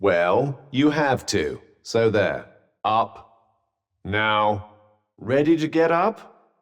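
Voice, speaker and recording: A man's voice: on the low side, sing-song, extremely slow, in a large hall, full character voice. A man voicing a sardonic male talking magic bracelet in a video game, with dry teasing and arch, formal phrasing.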